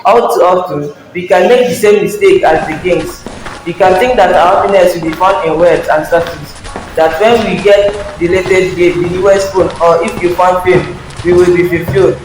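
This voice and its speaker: A man's voice: sits high, steady tone, normal speed, noisy background. A young man giving a scripted devotional narration for a nativity play. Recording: echoey hall, long reverb, music underneath.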